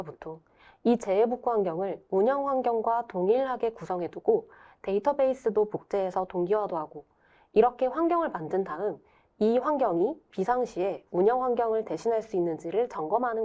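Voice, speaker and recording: A woman's voice: medium pitch, mellow. Woman presenting a webinar, slightly dull audio. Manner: explanatory, formal and polite. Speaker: presenter explaining a software product.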